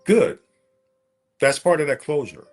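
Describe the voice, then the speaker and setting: A man's voice: fairly high pitch, lively intonation, a little slow, soft background music. A man in his forties speaking as an interview guest, candidly telling a personal story in a conversational way and repeating phrases for emphasis.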